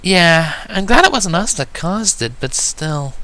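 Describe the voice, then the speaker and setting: Man putting on a high, sweet little filly. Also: animated, measured pace, pushed and bright, heavily acted, low-quality recording. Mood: concerned. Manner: childlike, earnest. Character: sweet, eager little filly pony, a child.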